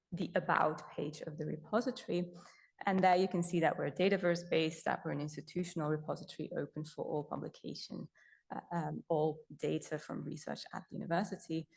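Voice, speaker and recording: A young Belgian woman whose first language is Dutch gives a conference talk about the data repository she manages. Her voice sits on the lower side, and she speaks in a relaxed, chatty way, often stopping to correct herself. She adds small self-deprecating asides as she goes. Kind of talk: conference presentation.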